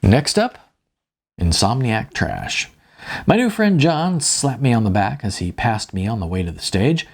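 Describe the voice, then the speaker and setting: A man's voice: mid-range voice, very animated, measured pace. A man reading his own novel aloud in a wry, conversational storytelling manner. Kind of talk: audiobook narration with spoken asides.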